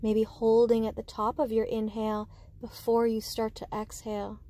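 A woman guiding a meditation with slow, soothing instruction, speaking gently and unhurriedly in short phrases with pauses. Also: on the high side, monotone. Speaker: meditation guide.

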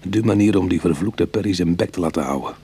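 An actor playing a proud, wry retired burglar in middle age who is easily goaded, in a Dutch radio play. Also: male voice, sits low, quick pace, noisy background, natural voice. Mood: brooding, wry. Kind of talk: Dutch radio drama acting.

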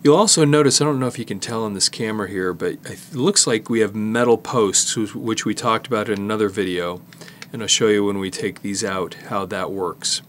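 A middle-aged man giving a casual hands-on tutorial, explaining in a halting way as he works with his hands. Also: lowish voice, a little hiss or hum. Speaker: presenter demonstrating cassette disassembly.

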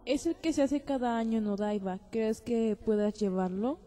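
A woman's voice: fairly high pitch, moderate pace, dark tone, in a large hall, close to an everyday voice. A woman playing a firm, busy mother in an anime fan dub, scolding and coaxing.

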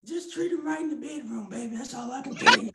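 A man's voice: very high-pitched, quick pace, gravelly, very noisy. A bawdy, churchgoing old grandma puppet who drawls her answers and calls everyone baby, voiced by a man. She sounds a touch roomy, like a small home room on a video call. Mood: sassy.

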